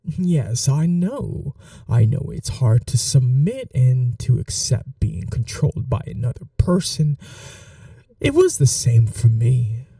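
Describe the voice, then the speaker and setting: Male actor for an obsessive vampire lover: deep-toned, unhurried, whispery, controlling yet doting; ASMR audio, slightly distant from mic. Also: big pitch swings, dark tone, no room sound, exaggerated voice. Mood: tender. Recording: voice a little off-mic, dry.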